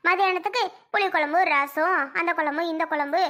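Lady doing a nagging suspicious mom, repeats herself, high sharp voice, family drama. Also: a little fast. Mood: weary, complaining. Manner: nagging, accusing, repetitive. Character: overprotective, suspicious, nagging mother.

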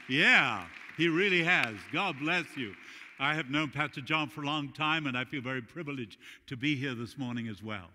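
A middle-aged man from Uruguay with a Spanish accent, preaching an animated sermon with jokes as guest speaker in a large church. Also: mid-range voice, slight background noise. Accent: Latin American Spanish. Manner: animated, gesturing widely while walking the stage. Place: large church sanctuary stage. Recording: long hall reverb.

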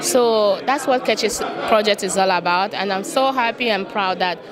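A woman's voice: medium pitch, normal speed, rough recording. A woman, a charity founder speaking earnestly and proudly, repeating her key phrases. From Nigeria. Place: crowded indoor event hall. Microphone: handheld mic held by interviewer. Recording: crowd chatter behind.

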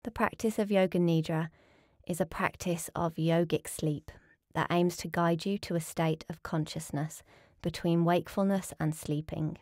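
A woman with a fairly low voice guides a restful meditation. She gives calm, soothing instructions and leaves gentle pauses between phrases. The recording is close and dry, with little room sound. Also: dry recording. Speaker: yoga nidra teacher.

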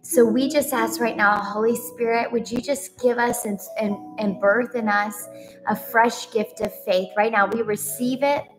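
A woman's voice: on the high side, fairly even intonation, unhurried, music in the background, poor audio. A woman praying aloud in an earnest, pleading way, off the cuff, with repeated phrasing. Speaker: co-leader praying. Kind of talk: extemporaneous prayer.

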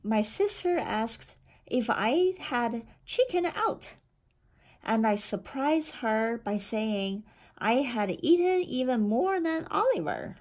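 A woman reading a children's picture book aloud in a deliberate, expressive storytelling manner. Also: a little high. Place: indoor room with framed certificates on the wall.

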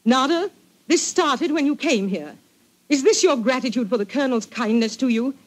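Haughty heiress, high voice, sharp-tongued, indignant, 1940s radio melodrama. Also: female voice, expressive, normal speed. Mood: accusing, indignant. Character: haughty, accusing English niece and heiress.

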